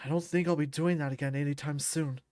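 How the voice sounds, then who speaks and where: A woman voicing a huge male beast fighter, gruff, proud and grumbling, in a visual novel. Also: deep voice, steady tone, fast, a little mellow, dry recording, clean studio sound, voice pushed down, natural voice. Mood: grumbling, sardonic. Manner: gruff, defiant, blustering.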